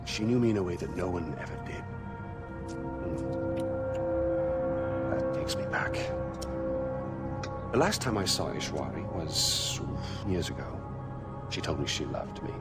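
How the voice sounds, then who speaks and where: A man's voice: low-pitched, heavily acted. A man playing a flamboyant, middle-aged tyrant in a video game cutscene, theatrically polite, mocking and volatile. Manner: theatrical, affected politeness breaking into swearing outbursts.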